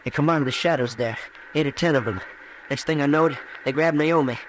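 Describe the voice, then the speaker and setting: Male actor as distraught young farm lad, highish voice, rustic and halting; radio western with faint hiss. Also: close to an everyday voice, rough recording. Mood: hurt, despairing. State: dazed, distraught. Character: anguished, heartbroken young frontier farm lad. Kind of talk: 1950s radio western acting. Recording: old radio broadcast, faint noise.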